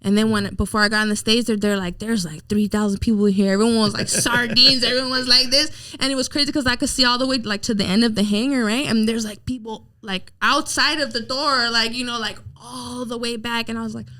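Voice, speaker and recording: A woman's voice: a little high, steady tone, fairly quick, hardly any pauses, pushed and bright, no room sound. A woman in her thirties, a musician guest in a casual interview, telling her story candidly and with animation. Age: 30s.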